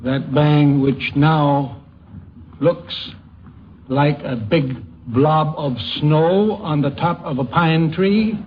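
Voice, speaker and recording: A man's voice: fairly high pitch, very slow. A man telling a personal story in a wry, colorful way. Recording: dull, noisy 1950s radio recording.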